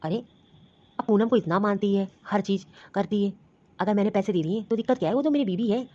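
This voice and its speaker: An earnest, defensive young husband played by a woman in a homemade family skit. He talks quickly in a dark, husky voice, and the recording has a dull, lo-fi sound. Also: medium pitch, lightly acted. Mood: puzzled.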